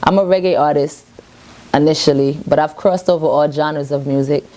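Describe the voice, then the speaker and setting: A woman's voice: low voice, fairly even intonation, normal speed, mellow. A woman, a reggae artist speaking at her home in a casual interview. She talks earnestly and self-assuredly in loose, rambling sentences. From Brooklyn, New York.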